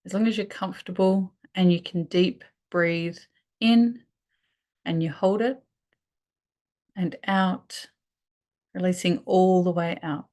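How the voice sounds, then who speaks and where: A woman leading a guided meditation, speaking in a soothing, unhurried way with pauses between phrases. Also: lowish voice, a little mellow.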